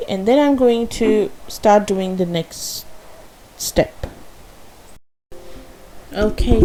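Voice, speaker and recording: A woman whose first language is Urdu talks through a craft tutorial in a slow, unhurried voice-over. Her tone is soft and mellow, and she sounds a little nervous. She pauses often on "uh" and "um" and corrects herself as she goes. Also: medium pitch, low-quality recording. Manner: hesitant, with frequent fillers and self-corrections.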